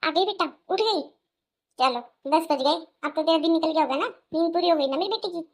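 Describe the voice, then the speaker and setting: A woman's voice: extremely high pitch, a little fast. A woman voicing a fond, coaxing middle-aged mother who dotes on her child in a cartoon. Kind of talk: melodramatic Hindi cartoon voice acting.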